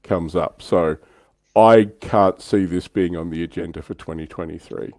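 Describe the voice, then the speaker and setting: A very deep-voiced Australian man hosts a casual hobby livestream. His tone is soft-edged and mellow, and the recording is close and dry. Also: normal speed, no echo. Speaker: livestream host.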